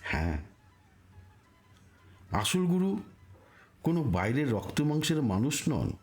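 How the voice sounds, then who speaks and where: A man delivering a scripted spiritual narration in a solemn, deliberate manner, with rhetorical pauses. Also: medium pitch, very animated, darkish tone, faint music behind.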